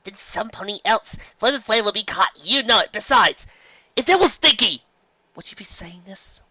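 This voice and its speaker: A man's voice: high voice, animated, normal speed, sharp tone, no echo, highs cut off, pitched above the performer's own voice, exaggerated voice. A man voicing an earnest, determined young farmer mare in a story, with a pleading, insistent manner. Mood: indignant.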